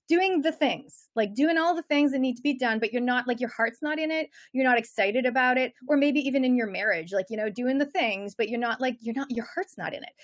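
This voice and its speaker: A middle-aged woman with a Canadian accent, a coach talking energetically and chattily as a guest in an interview. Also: on the high side. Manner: energetic, chatty, many fillers. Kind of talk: casual interview chat.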